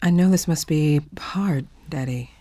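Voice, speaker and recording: A woman's voice: deep voice, a little slow, dark tone, no room sound. An actress playing a blunt, exasperated grown daughter in her forties in an audio drama. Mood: weary, pleading. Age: 40s.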